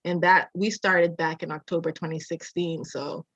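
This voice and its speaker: A woman's voice: lowish voice, little pitch movement, normal speed, a bit bright, dry recording. A woman in her thirties, born in Jamaica and raised in Massachusetts, telling her story in a casual interview with a reflective manner and frequent laughs. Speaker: architecture designer, guest.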